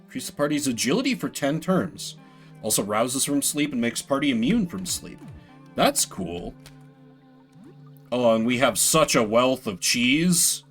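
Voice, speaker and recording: A man's voice: mid-range voice, sing-song, measured pace, a bit bright, faint music behind. A man giving casual, rambling commentary as he streams a video game, with playful mock-grandiose asides. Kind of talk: casual gameplay commentary, voicing game text.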